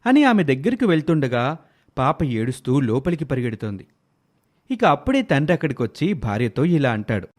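A man's voice: mid-range voice, sing-song, a little fast. A man telling a scripted story as its narrator, acting out bits of dialogue.

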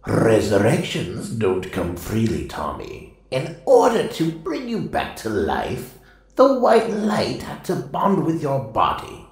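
An actor voicing a stern, solemn mystical spirit in an audio drama, speaking deliberately and lecturing. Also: mid-range voice, wildly swooping pitch, vocal fry, full character voice. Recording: heavily echoing voice.

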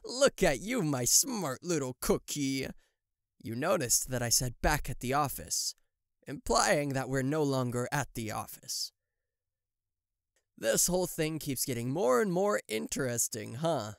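Smug, possessive demon tech boss played by a man, theatrical and condescending, unhurried delivery, dry close sound, for roleplay audio. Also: fairly high pitch, big pitch swings, creaky, no room sound, full character voice. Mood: smug, menacing. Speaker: voice actor. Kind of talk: yandere ASMR roleplay addressed to the listener.

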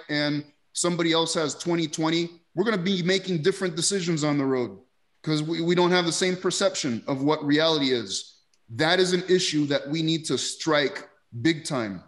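A man's voice: fairly high pitch, fairly bright tone. A man in his forties hosting a panel over a video call, emphatic and casual, often repeating words. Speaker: host. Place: indoors, dark virtual background. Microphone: headset earphones on video call.

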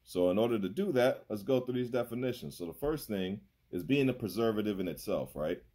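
A man's voice: fairly low pitch, expressive, fairly quick, mellow. A man in his thirties preaching a sermon from a small room into a phone, conversational and laughing easily, often asking "right?". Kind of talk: casual sermon over a video call. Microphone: phone held close.

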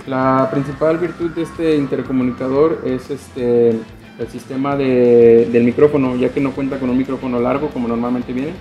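A man's voice: mid-range voice, little pitch movement, normal speed, warm tone, highs cut off. A young man with a Mexican Spanish accent, a sales adviser explaining a product casually, with frequent filler words. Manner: casual, many "este" fillers. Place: motorcycle gear shop, indoors. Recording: echoey shop with music.

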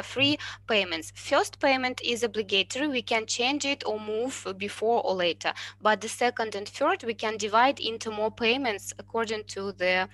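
A woman in her thirties fields questions in an online university webinar through a headset mic. She talks in a bright, forceful voice at a middle pitch. Her English is careful and a little halting. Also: rough recording. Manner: careful, slightly halting non-native English. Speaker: university admissions presenter. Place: indoors with a virtual background. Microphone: headset on a video call.

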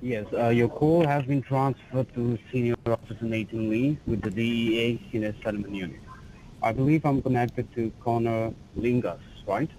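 A man posing as an officer on a phone call, talking formally and insistently with halting restarts. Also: medium pitch, fairly even intonation, warm tone, a little hiss or hum.

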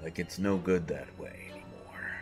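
Man doing a gruff coach turning into a monster, halting speech, soft music underneath. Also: low-pitched, natural voice. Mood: hungry, troubled. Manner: halting, hesitant, repeating himself. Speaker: audio drama voice actor. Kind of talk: audio roleplay monologue.

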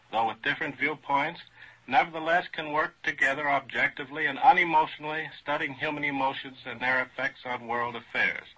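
A man's voice: a little high, bright, forceful tone, breathy edge, rough recording. A man speaking formally and earnestly as an academic on a 1940s radio panel.

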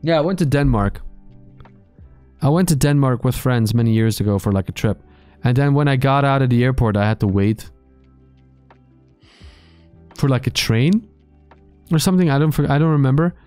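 A man in his thirties talks with viewers on a live stream, loose and joking, dropping swear words and restarting his sentences. His voice is smooth and clear and sits at an ordinary pitch. It is recorded dry on a close mic, with faint music behind him. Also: normal speed, long pauses, a little mellow, no echo. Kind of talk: casual chat reading live chat. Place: small gaming room lit purple. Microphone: studio mic on boom arm, headphones.

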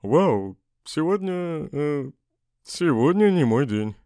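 Actor as male skeleton trickster, mid-pitched, animated, sardonic, comic dub, loud backing music. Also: slow. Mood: sarcastic. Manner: dry, sarcastic.

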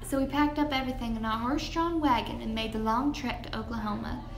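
A woman's voice: on the high side, fairly even intonation, quick pace, close to an everyday voice. A young woman playing a plucky teenage farm girl, telling her story in a folksy way straight to listeners. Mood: troubled. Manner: folksy, addressing listeners directly. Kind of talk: scripted first-person storytelling. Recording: echoey room, faint background noise.

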